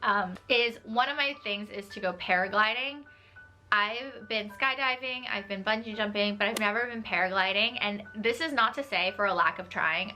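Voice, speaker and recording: A woman's voice: mid-range voice, pushed and bright, rough recording. A young woman, talking casually to camera from her bedroom, often saying "like" and laughing lightly. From New York. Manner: chatty, uses "like" a lot, laughs lightly. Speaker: vlogger. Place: small bedroom with desk and tapestry, indoors.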